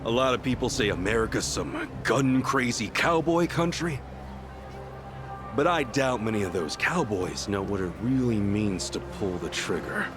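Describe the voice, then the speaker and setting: A man's voice: on the low side, expressive, moderate pace, close to an everyday voice. A man playing a brash, good-natured, streetwise tough guy in a game cutscene, talking bluntly and casually in slang. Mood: cool, defiant. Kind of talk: English video game cutscene dub acting. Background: music.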